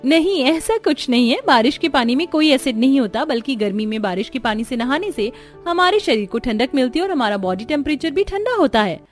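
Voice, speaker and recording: A woman voicing an excitable, playful young daughter-in-law in a Hindi cartoon story. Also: high-pitched, brisk, few pauses, soft background music. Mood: explaining brightly.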